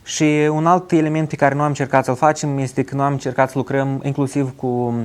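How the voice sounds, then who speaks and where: Slow, halting man in a studio discussion. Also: mid-range voice, level tone. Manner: slow, halting, many "uh" fillers. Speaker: studio guest, NGO representative. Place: television studio.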